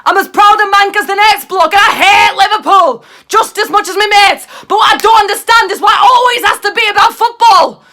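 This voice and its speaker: A woman playing a rowdy, aggrieved young hooligan in a comic monologue, ranting indignantly at a shout in a Mancunian accent. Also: very high voice, fairly quick, hardly any pauses. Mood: loud indignation.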